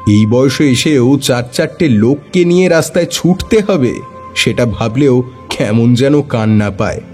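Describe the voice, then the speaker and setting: A man's voice: medium pitch, a little slow, darkish tone, natural voice. A man narrating a detective story in the first person, with a wry, dryly humorous manner. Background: faint background music.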